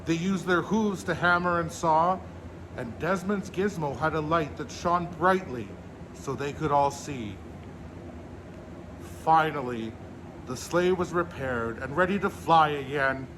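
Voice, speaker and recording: A man with a slightly high voice reads a children's story aloud as the storyteller. His delivery is measured and expressive, and there is noticeable noise behind him. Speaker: storyteller in a Santa persona, host.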